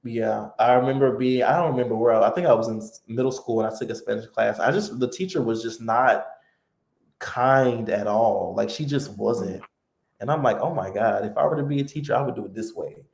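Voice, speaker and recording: A man hosting a casual live-stream chat, relaxed and talkative with lots of fillers. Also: mid-range voice, a little fast, gravelly, echoey room. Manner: relaxed, chatty, many fillers. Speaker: host.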